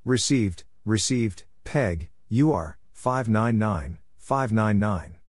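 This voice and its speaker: A man reading out scripted radio exchanges in a measured way, as narrator of a practice lesson. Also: on the low side, expressive, breathy edge. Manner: measured, spelling out call signs piece by piece. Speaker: Morse code practice narrator.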